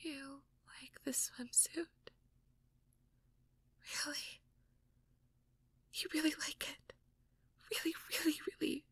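A woman playing a shy, anxious young mage girlfriend in an intimate audio roleplay, hesitant and stammering in a breathy whisper. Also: high-pitched, fairly even intonation, slow, pauses often, a bit bright, no echo, heavily acted. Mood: shy, flustered. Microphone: close microphone, dry.

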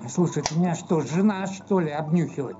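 Man playing high-voiced, exasperated locked-out resident. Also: a little fast, close to an everyday voice. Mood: irritated. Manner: irritated, sarcastic complaining. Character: exasperated, sarcastic man locked out of his home. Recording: muffled lo-fi audio with faint music.

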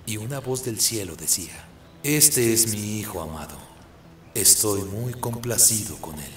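A man's voice: fairly low pitch, expressive, a little slow. A man narrating a biblical story from a script as a radio drama narrator.

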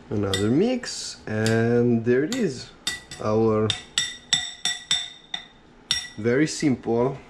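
Slow-talking man cooking in his kitchen. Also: medium pitch, noisy background. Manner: relaxed, unhurried, talks while chopping and mixing. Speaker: cooking vlogger. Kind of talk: casual cooking commentary. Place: small home kitchen, indoors. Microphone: head-mounted camera, first-person view.

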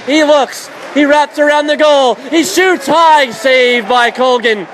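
A man doing radio play-by-play of a college hockey game, calling plays quickly and shouting at the big moments. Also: extremely high pitch, a crowd in the background. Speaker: play-by-play announcer. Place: college ice arena. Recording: noisy background.

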